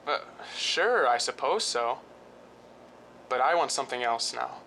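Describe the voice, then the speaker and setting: A man acts a brooding, volatile husband from an old-style suspense radio play. The voice is mid-pitched with a bright, tense edge, and the delivery halts. Slight rumbling noise runs underneath. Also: expressive, unhurried, vocal fry, full character voice. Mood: resigned, yearning. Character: handsome, weak, violent-tempered husband, a former lifeguard. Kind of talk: 1940s-style suspense radio drama acting. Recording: noisy recording with low rumble.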